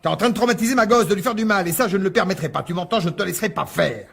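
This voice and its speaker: A husky middle-aged father in a radio drama. He talks fast in stammered, emphatic bursts, protective of his child and quick to turn indignant. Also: high voice, a bit bright, heavily acted. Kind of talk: French radio drama acting.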